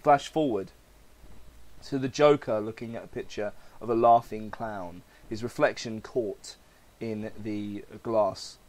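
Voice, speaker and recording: A man's voice: lowish voice, unhurried, a little mellow. A man hosting a podcast, informally narrating a comic book with hesitant, improvised scene-setting. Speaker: podcast host.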